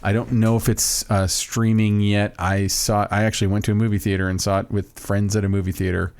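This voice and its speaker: A man's voice: on the low side, little pitch movement, a little fast, darkish tone, smooth. A man co-hosting a podcast, talking enthusiastically and rambling about a film he loves. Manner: enthusiastic, rambling, self-interrupting. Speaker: podcast co-host. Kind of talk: casual podcast chat.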